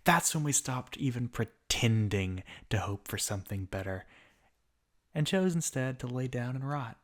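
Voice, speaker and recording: A man's voice: medium pitch, animated, normal speed, natural voice. A man playing a bitter, mocking villain in an ASMR roleplay monologue, speaking theatrically with sardonic asides and often dropping toward a whisper. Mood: contemptuous, menacing. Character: bitter, mocking male villain revolutionary monologuing at a fallen hero. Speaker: voice actor in an audio roleplay.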